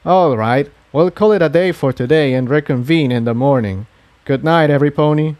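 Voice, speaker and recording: A man's voice: fairly high pitch, normal speed, darkish tone, no echo, natural voice. Guy doing a earnest male pony hero, bit troubled, takes charge, fantasy audiobook, dry sound. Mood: weary, decisive. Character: troubled, good-hearted male pony adventurer taking charge.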